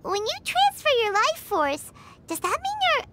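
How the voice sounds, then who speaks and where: A woman voicing a tiny, childlike girl fairy companion in an anime-style video game, curious, blunt and chirpy with a squeaky voice. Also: wildly swooping pitch, moderate pace, background noise, exaggerated voice. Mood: suspicious. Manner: squeaky, refers to herself in third person.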